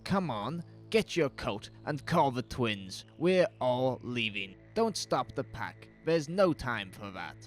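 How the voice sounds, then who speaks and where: A man's voice: mid-range voice, sing-song, takes it slowly, few pauses, soft background music. An actor playing a frantic, paranoid father urgently ordering his family around in a horror audio drama. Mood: urgent, determined. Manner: urgent, forceful, insistent.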